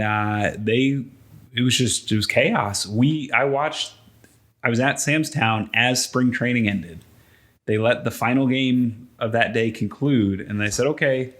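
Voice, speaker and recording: A man's voice: mid-range voice, a bit bright. A man hosting a podcast in a casual, conversational style. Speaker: podcast host. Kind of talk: casual chat.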